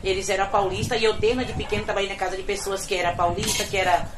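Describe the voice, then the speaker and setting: A middle-aged woman from Bahia, a domestic worker, giving an earnest, reflective personal testimony that often trails off quietly. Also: mid-range voice, flat delivery, quick pace, soft background music. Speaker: domestic worker telling her story.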